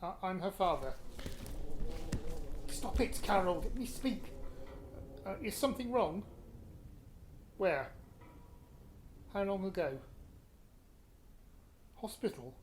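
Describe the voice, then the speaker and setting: A man's voice: sits high, lively intonation, slow, long pauses, slight background noise. A man with a British accent playing a terse, dry-humoured middle-aged father in a naturalistic radio play. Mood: worried, shaken.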